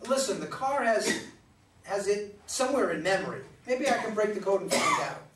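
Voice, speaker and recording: Distant, echoing sound, male actor as know-it-all son, earnest, pedantic, lively swooping pitch, peacemaking, staged play reading. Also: moderate pace, over a noisy crowd. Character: earnest, know-it-all son mediating his bickering parents. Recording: echoey, noisy room, distant microphone.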